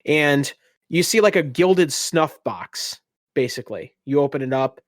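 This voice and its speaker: A man's voice: a little high, expressive, measured pace, dry recording, clean studio sound. A man working as game master, improvising casual narration for a tabletop game.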